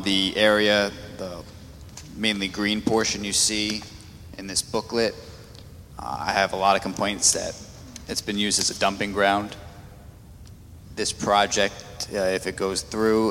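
A man speaking as a city councilman at a public meeting, halting with fillers and restarts. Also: sits low, bright, forceful tone. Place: council chamber. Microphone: meeting microphone. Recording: echoey chamber, faint noise.

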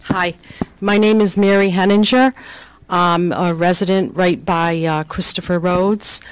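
A woman's voice: fairly low pitch, fairly even intonation, a little slow, smooth, no echo, poor audio. A woman resident speaking at a council meeting, pleased and thankful. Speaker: resident giving public comment.

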